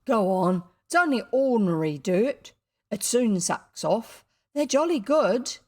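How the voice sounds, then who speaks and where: A woman's voice: a little high, animated, unhurried, darkish tone. A mischievous storybook schoolboy played by a woman. He is earnest and stubborn, with a boastful, self-important way of talking. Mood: generous.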